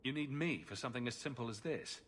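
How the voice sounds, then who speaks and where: A man's voice: medium pitch, fast, fairly bright tone, a little hiss or hum, lightly acted. An actor playing a wry, skeptical male adventurer in a fantasy video game, blunt and sardonic. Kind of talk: video game dialogue acting.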